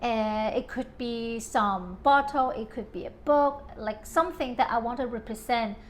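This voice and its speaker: A woman's voice: a little high, normal speed, slight background noise. A woman from Hong Kong talking casually in an interview about her home and design, with many fillers and frequent laughs.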